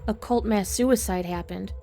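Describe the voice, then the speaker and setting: A woman's voice: medium pitch, moderate pace, creaky, warm tone, faint music behind, natural voice. A woman playing an inn receptionist who recounts grisly local lore in a knowing, matter-of-fact way, in a horror audio drama. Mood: ominous, knowing. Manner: storytelling, matter-of-fact about grisly tales. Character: front desk lady, ominous teller of local lore.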